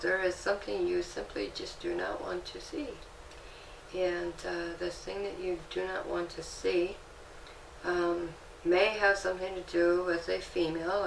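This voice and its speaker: A woman working as a Reiki practitioner, calmly explaining while guiding a healing session in an indoor room. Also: fairly low pitch, creaky, slight background noise. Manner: calm, explanatory, gently instructive. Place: indoor treatment room with fan. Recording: echoey room, mic at a distance.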